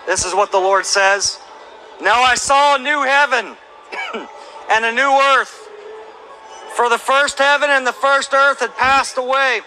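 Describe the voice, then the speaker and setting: A man in his thirties preaching in the open air with a handheld microphone, reading scripture slowly and emphatically and rising to shouts. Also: extremely high pitch, slight background noise, poor audio. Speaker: street preacher. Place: outdoor plaza by historic buildings. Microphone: handheld microphone through a speaker.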